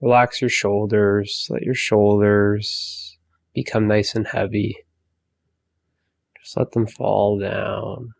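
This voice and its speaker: Male meditation guide, low and level, unhurried delivery, dry close sound. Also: vocal fry, no echo. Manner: slow, soft, calm instructions with long pauses.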